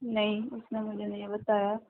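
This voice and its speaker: A woman's voice: mid-range voice, completely flat delivery, normal speed, gravelly, very noisy. A young woman in an intimate phone conversation, speaking in a subdued way with short replies. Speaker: ex-girlfriend on a call. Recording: thin narrow-band phone line.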